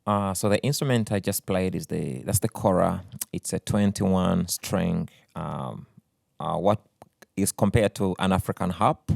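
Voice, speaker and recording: Deep gravelly Ugandan man, unhurried. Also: a little mellow, dry recording, clean studio sound. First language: Luganda. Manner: thoughtful, unhurried, with frequent fillers. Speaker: musician guest. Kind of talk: radio studio interview. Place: radio studio.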